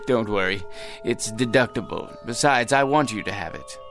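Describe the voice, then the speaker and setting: Man voicing jaded millionaire, gravelly, wistful, radio drama, faint music under. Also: lowish voice, normal speed, close to an everyday voice. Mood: weary. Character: weary rich middle-aged businessman. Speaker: wealthy businessman George Hanley.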